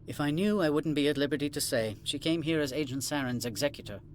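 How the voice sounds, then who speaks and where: An actor playing a haughty alien corporate administrator in a video game, condescending, formal and clipped, dismissive of outsiders. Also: male voice, fairly high pitch, quick pace, slight background noise, close to an everyday voice. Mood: guarded, formal. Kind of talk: video game cutscene acting.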